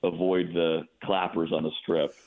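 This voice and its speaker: A man with a fairly low voice comes through a narrow, tinny phone line. He sounds like a play-by-play announcer chatting casually as a guest on sports radio. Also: level tone, unhurried. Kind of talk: casual interview chat.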